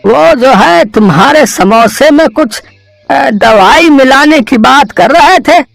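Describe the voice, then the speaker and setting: A man voicing an elderly, kindly village potter who gives gentle, fatherly advice in a cartoon story. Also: very high-pitched, expressive, takes it slowly, gravelly, no echo, faint music behind, heavily acted. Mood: advising.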